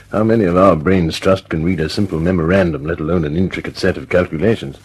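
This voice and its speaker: An actor playing a sympathetic, wry senior British air officer, a measured and reassuring man, in a radio drama. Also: sits low, husky. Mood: supportive, wry.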